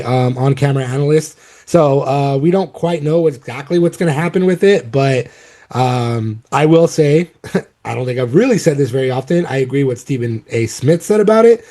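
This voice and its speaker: A man's voice: medium pitch, dark tone. A man with a cold, his voice a little off, hosting a casual sports podcast and rambling with many ums and restarts. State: has a cold, voice a bit off. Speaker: podcast host.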